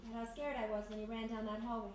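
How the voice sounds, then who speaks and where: An actress playing a quick-thinking, wry former vaudevillian who assists a detective in a 1930s-style radio mystery. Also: female voice, on the high side, flat delivery, natural voice. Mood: flirtatious, tender. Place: live performance in a historic house. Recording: echoey live stage, distant mic, clear noise.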